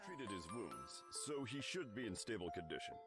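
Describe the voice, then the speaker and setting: A man's voice: fairly low pitch, very animated, moderate pace. A man voicing an easygoing, wry middle-aged father in a video game. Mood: calm. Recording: clear music under the voice.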